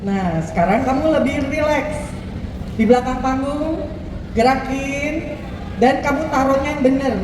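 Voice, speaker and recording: A woman's voice: high-pitched, a little slow, background noise. A woman coaching models on posture and walking, conversational and asking rhetorical questions. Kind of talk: instructive coaching talk. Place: large echoey mall event space. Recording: echoey hall audio from far off.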